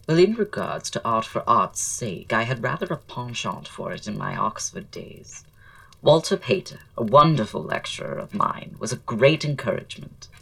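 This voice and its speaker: An actor playing a witty, flamboyant Victorian writer and aesthete in an audio drama, speaking in a florid, languid, theatrical way. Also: male voice, mid-range voice, sing-song, moderate pace, vocal fry, a bit bright, far from the mic, low-quality recording. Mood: eloquent, musing.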